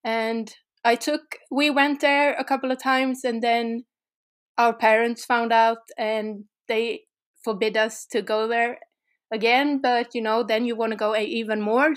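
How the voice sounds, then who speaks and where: A Swedish woman talks through her answers slowly and hesitantly, pausing to find her words. Her voice sits fairly high and her manner is thoughtful. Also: level tone. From Sweden. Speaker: guest, urban explorer. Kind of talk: interview conversation.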